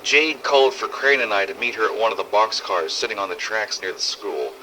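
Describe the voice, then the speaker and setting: A man playing a weary, sardonic, foul-mouthed survivor of a zombie apocalypse, recording expressive first-person audio logs in a game-style drama. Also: fairly low pitch, fairly quick, slight background noise, rough recording. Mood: wry recounting. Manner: sardonic, expressive, emphatic swearing.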